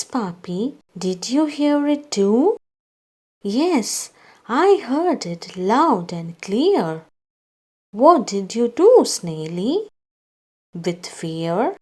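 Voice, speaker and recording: Woman reading a kids storybook out loud, super slow and deliberate, really up and down pitch. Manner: slow, deliberate delivery for young children. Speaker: children's storybook narrator. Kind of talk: scripted read-aloud children's story with character dialogue.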